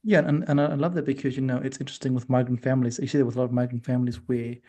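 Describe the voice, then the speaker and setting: A man's voice: mid-range voice, level tone, rapid-fire, mellow. A man hosting a podcast, interviewing in an informal, conversational and affirming way. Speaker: podcast host.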